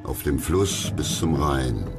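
A man reading scripted history documentary narration in a measured, grave manner. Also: very deep voice, animated, vocal fry. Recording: loud music under narration.

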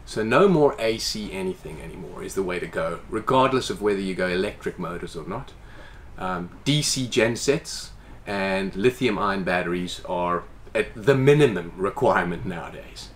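Man in his forties, boat owner, casual interview talk, animated, faint background hiss. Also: low-pitched, normal speed. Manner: animated, gestures with hands while explaining. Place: inside a boat salon.

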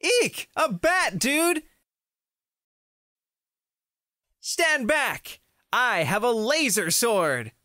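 An excitable, bossy little boy knight from a kids' comic , acted out by a woman. The delivery swings up and down wildly but takes its time, with a close, dry sound. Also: on the high side, slow, no echo, full character voice. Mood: alarmed. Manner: exclamatory, playful, dramatic. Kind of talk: children's comic read-aloud.

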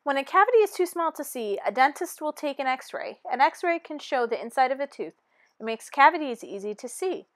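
A woman with a high voice reads a children's picture book aloud. Her delivery is expressive and teacherly, and the sound is dry and close, with very little room. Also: brisk, no room sound. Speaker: storybook reader.

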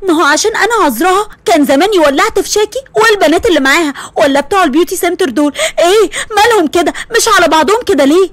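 A woman's voice: extremely high pitch, animated, very fast, hardly any pauses, no echo. Woman voicing a blunt, sarcastic young Egyptian woman. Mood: exasperated. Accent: Egyptian Arabic. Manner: exasperated, sarcastic.

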